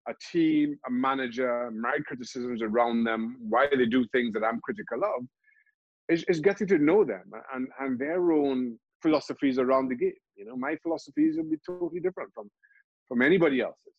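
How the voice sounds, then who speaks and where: A middle-aged man from Trinidad and Tobago, a football pundit, answering interview questions in a halting way, restarting and repeating words often. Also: mid-range voice, lively intonation, vocal fry, husky, no echo, muffled recording. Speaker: football pundit and show host.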